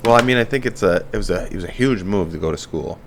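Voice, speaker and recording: A man hosting a podcast, chatting in a relaxed, frank way with the odd curse. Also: low voice, quick pace, vocal fry, slight background noise. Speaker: podcast host. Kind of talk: casual interview chat.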